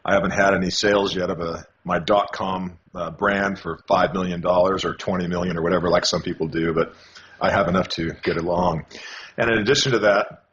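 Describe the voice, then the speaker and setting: Deep-voiced older American man. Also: fairly even intonation, fairly bright tone. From California, USA. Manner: halting, many restarts and fillers. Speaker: guest. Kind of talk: casual interview answers. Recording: dull lo-fi call audio with faint noise.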